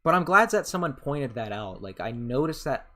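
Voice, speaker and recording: A man's voice: very animated, normal speed, a bit bright. A young man in his twenties, rambling casually with some swearing, chatting over a game as a YouTuber answering questions. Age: 20s. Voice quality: voice has grown deeper. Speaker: gaming YouTuber. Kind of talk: casual gameplay commentary and Q&A.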